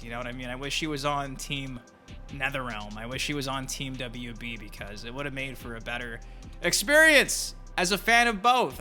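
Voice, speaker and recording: Bright-voiced man hosting a gaming vlog. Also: mid-range voice. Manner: colloquial, profane, addresses viewers directly. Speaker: host of a gaming news channel. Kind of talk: casual opinion commentary to viewers. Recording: music behind the voice. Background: music.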